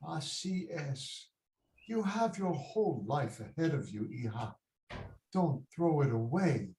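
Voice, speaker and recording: A man playing a stern, slow-spoken loving father. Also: fairly high pitch, animated, warm tone. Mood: stern, pleading. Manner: deliberate, paternal, slips Spanish words into English. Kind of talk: dramatic table read. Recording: roomy echoing video call.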